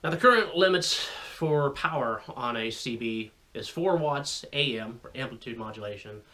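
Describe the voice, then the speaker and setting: A man's voice: medium pitch, lively intonation, moderate pace, sharp tone. An American man giving an informal explainer to viewers in a folksy, conversational way with rambling asides. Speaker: radio hobbyist video host.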